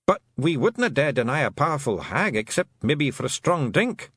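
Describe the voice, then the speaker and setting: High-pitched Scottish little clan chief. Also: male voice, sing-song, moderate pace, fairly bright tone, breathy edge, no room sound, clean studio sound, exaggerated voice. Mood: nervous, deferential. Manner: exclamatory, pleading, dialect-heavy. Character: small rowdy blue clan leader, anxious and deferential.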